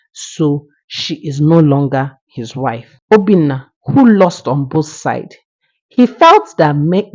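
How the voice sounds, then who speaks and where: Low-voiced woman storyteller, muffled recording. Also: darkish tone. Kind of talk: oral folktale narration with moral lesson.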